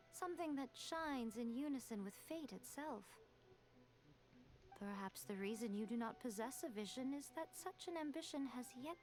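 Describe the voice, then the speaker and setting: Woman playing a solemn, high-voiced divine ruler. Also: darkish tone, lightly acted. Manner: formal, measured, grave. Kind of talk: scripted video game voice acting.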